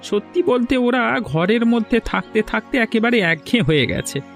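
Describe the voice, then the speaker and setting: Insistent younger cartoon villager, very high male voice, animated pitch, music behind man acting. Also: moderate pace. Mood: earnest, fed up. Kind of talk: Bengali cartoon fairy-tale dubbing.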